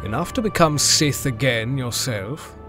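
A man portrays a principled, diplomatic Jedi master in an audio drama. His mid-range voice is polite and measured. Music plays faintly behind. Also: medium pitch, lively intonation, a bit bright. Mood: probing. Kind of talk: fan audio drama acting.

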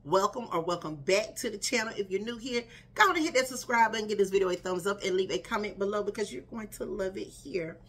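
A woman's voice: mid-range voice, fast talker, few pauses, breathy edge. A woman, chatting casually to camera in her living room, talking straight to her viewers. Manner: chatty, animated, addresses viewers as y'all and girl. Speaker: vlogger. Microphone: camera in front at desk distance.

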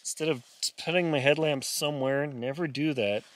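A man's voice: fairly high pitch, moderate pace, slight background noise. A middle-aged man giving casual, folksy instructions as he handles gear, recorded on a camera inside a small tent. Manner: folksy, talking while busy with gear. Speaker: solo winter camping vlogger. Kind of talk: casual instructional vlog talk.

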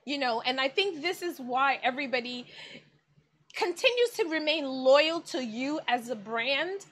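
Bright-voiced woman hosting an interview. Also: high-pitched, lively intonation, unhurried. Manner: effusive, admiring, many exclamations. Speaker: magazine editorial director, interviewer. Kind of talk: interview host on video call. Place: indoors in front of a plain wall. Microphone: video call webcam.

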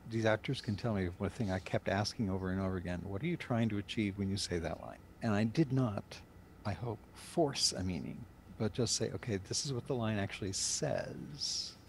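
An older man, a director, speaking emphatically and deliberately in a panel interview over a noisy video call. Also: on the low side, vocal fry. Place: indoor room, on a video call.

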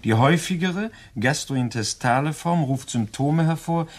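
An actor playing a dry, inquisitive gentleman guest in a crime radio play, pointed and pedantic. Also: fairly high pitch, lively intonation, moderate pace. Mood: needling.

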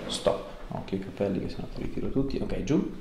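A man in his thirties with a low voice, recorded in a very echoey small room with faint noise. He gives short, informal instructions and reassurance as a chiropractor at work. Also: animated, a little fast, vocal fry. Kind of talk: instructing a patient during a hands-on session. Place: small treatment room indoors.

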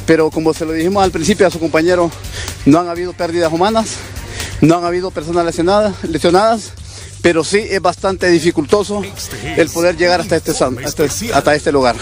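A Honduran fire officer answers questions in a formal, procedural way. He speaks briskly in a fairly high voice. Faint music sits beneath. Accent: Honduran Spanish. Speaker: firefighter officer. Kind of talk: on-scene interview.

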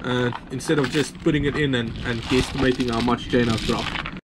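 A man's voice: medium pitch, fairly even intonation, moderate pace, very noisy. A South African man, around his thirties, narrating a sailing vlog in an informal, laid-back way. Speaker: sailing vlogger.

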